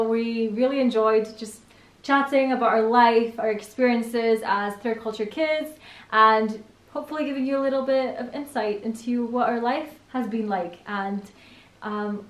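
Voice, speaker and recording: A young woman from Spain hosting a casual chat, talking with lots of fillers and laughing easily. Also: fairly high pitch. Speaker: channel host. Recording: echoey room.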